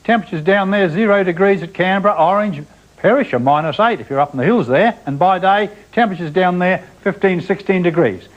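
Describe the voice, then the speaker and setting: A man with an Australian accent presenting the weather on television, brisk and chatty as he talks through the charts. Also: high-pitched. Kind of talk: semi-scripted weather report. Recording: dull, lo-fi TV broadcast sound.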